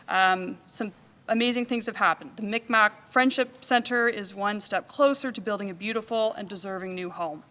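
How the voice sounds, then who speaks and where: A woman's voice: a little high, sharp tone, long reverb tail. A woman hosting a conference, reading sponsor thanks and a formal welcome at a podium microphone. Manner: brisk, formal thank-you list, then welcoming speech. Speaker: event host. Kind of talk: conference opening remarks. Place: large conference hall. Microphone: podium microphone, at times off.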